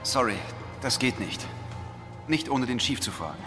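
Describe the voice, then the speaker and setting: A man's voice: mid-range voice, sing-song, normal speed, music in the background, natural voice. An actor playing an earnest, polite young rookie policeman in an audio drama. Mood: earnest, wary. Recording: reverberant hall, faint background noise.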